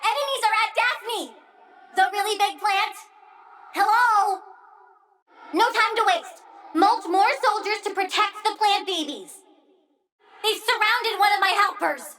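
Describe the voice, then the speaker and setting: A tiny, bratty plant-girl villain from a video game. The voice is extremely high and sharp, taking its time over each threat. It has a childlike petulance and an eager, sing-song delight in cruelty. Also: unhurried, long pauses, far from the mic, heavily acted, poor audio. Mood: indignant, urgent. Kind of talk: video game character voice lines.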